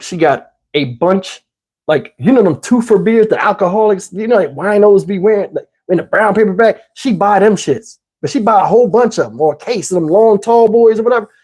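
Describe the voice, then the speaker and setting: Casting: American man, husky voice, animated streamer spinning personal tales in loose slang and playing out each side of the conversation. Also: sits high. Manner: slangy, acts out dialogue. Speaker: livestream host telling personal stories. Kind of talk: casual animated storytelling.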